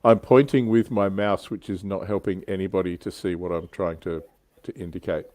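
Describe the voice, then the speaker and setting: A man with a fairly low voice hosts an informal hobby chat. He is jokey with a dry sense of humour, and the sound is close and dry, with little room in it. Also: steady tone, normal speed, darkish tone, no echo. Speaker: livestream host. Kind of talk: casual hobby chat, reading viewer comments.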